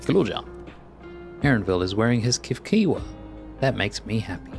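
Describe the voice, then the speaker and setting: A man's voice: fairly low pitch, big pitch swings, moderate pace, gravelly. A man casually playing through a game as a streamer, reacting aloud in a joking way. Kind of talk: casual playthrough, reading subtitles and reacting. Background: game music.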